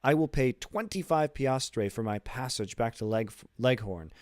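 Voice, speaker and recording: A man's voice: mid-range voice, animated, unhurried, no echo, studio quality, natural voice. A man voicing a stoic, polite injured sailor in an audiobook, with formal, emphatic delivery. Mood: earnest, grateful. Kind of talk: audiobook character dialogue, literary.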